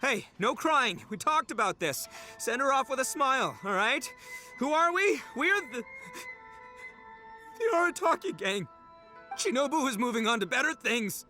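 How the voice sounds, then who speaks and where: An actor playing a loud, brash young gang boss in a video game, boisterous and theatrical. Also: male voice, very high voice, sing-song, moderate pace, faint music behind, full character voice. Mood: rallying, emotional.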